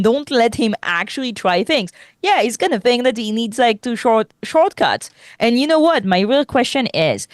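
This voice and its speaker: A young adult woman whose first language is French, co-hosting a podcast in casual chat, animated, laughing often and restarting sentences. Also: fairly high pitch, dry recording, studio quality. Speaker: podcast co-host.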